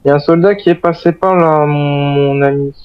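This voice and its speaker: A man's voice: on the high side, fairly even intonation, a little fast, smooth, no room sound. Courteous man on a dull, dry mic. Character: courteous survivor of a zombie island. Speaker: video game role-player. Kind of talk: improvised in-character game chat.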